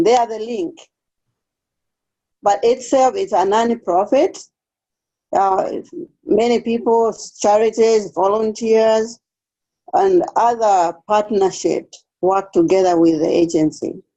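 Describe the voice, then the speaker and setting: A Kenyan woman talks slowly and carefully, stopping to restart her sentences as she answers questions in an online seminar. Her voice is mellow and unhurried. The video call audio sounds dull and lo-fi. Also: mid-range voice. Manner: careful, hesitant, with pauses and restarts. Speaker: case aide, guest speaker.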